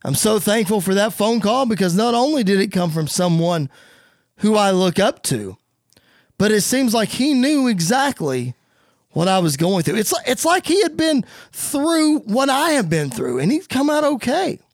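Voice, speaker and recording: Man doing a pompous, animated wrestling villain. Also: high voice, normal speed, dry recording, clean studio sound, full character voice. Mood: smug. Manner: pompous, theatrical promo delivery. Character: smug, showy male wrestling villain giving a speech.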